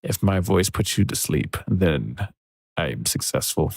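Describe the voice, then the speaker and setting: A man mixing music on a livestream, thinking aloud in a soft, relaxed, casual way. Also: low voice, unhurried, vocal fry, breathy edge, no echo, studio quality. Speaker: music producer streaming a mixing session. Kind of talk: casual livestream commentary while working. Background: faint music from the mix being worked on.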